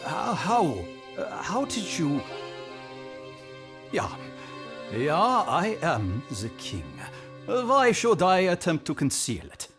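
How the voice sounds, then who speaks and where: Slow, pompous, high-voiced anxious king. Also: huge pitch swings, music in the background, exaggerated voice. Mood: flustered, proud. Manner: pompous, formal, halting. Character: proud, anxious, pompous Bohemian king travelling incognito. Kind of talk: audio drama acting.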